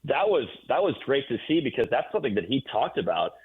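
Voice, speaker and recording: A man's voice: mid-range voice, big pitch swings, quick pace, breathy edge. A man giving sports analysis as a guest announcer on a radio show, heard over a phone-like line.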